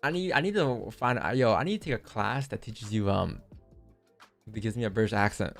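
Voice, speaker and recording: A young man with a low, gravelly voice hosts a live trading stream from a desk mic. He talks loosely to his chat, cracking jokes between chart calls. Faint music plays underneath. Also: animated, fairly quick. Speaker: livestream trading host. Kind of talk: casual live commentary to chat.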